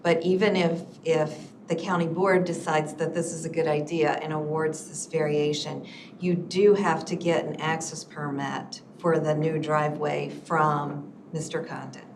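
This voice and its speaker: A woman on county planning staff, explaining permits and site limits at a public zoning hearing in a measured way. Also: sits low, little pitch movement. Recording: echoey room, distant mic, noisy.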